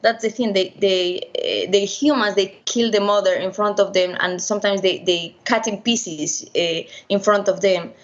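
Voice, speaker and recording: A middle-aged woman with a Spanish accent, a veterinarian answering interview questions haltingly over an internet call. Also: mid-range voice, steady tone, highs cut off. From Spain. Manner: halting, many restarts and fillers. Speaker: veterinarian and primatologist guest. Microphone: video call.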